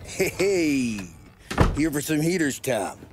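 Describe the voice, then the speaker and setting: A folksy gangland gun supplier in a game cutscene. He chats with lots of rise and fall, while clinking and clattering is clearly heard behind him. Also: on the high side, lively intonation, slow pace, noisy background. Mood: chatty, wry. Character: folksy, chatty male mob weapons supplier. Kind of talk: dramatic video game cutscene acting.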